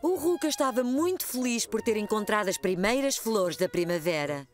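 Uma mulher com voz cantada e expressiva narra para crianças, calma e clara. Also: female voice, medium pitch, moderate pace. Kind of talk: storybook narration.

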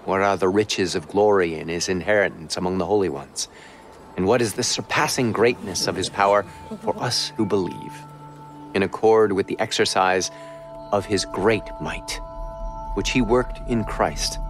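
Casting: male scripture reader, fairly low voice, solemn scripted delivery with music bed. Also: moderate pace. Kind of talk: scripted, solemn epistle reading.

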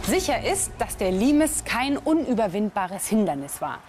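A woman's voice: medium pitch, sing-song, moderate pace, a little mellow. A woman reading scripted documentary narration. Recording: music under the voice. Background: background music.

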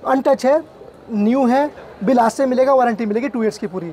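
A man in his thirties pitching camera gear as a salesman, listing products and prices in a quick, repetitive way. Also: crowd noise. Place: busy indoor exhibition hall.

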